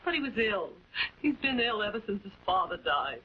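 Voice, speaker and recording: A woman's voice: mid-range voice, very animated, a little fast, natural voice. A woman playing a distraught, guarded wife and guardian in a late-1940s television drama, speaking emphatically and repeating herself. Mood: distraught, guilty. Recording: dull, noisy 1940s film soundtrack.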